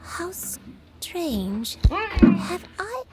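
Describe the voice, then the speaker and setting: Slow, frail, wistful old granny. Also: female voice, very high-pitched, big pitch swings, heavily acted. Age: older. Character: frail, forgetful old granny, gentle and sorrowful. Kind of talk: video game voice acting. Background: game music.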